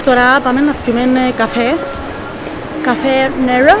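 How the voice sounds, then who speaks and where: A woman's voice: high-pitched, over music. A young woman with a Cypriot Greek accent, chatting casually as a vlog host while walking through a shopping mall. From Cyprus. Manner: lively, chatty, quick to laugh and correct herself. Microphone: handheld camera at arm's length.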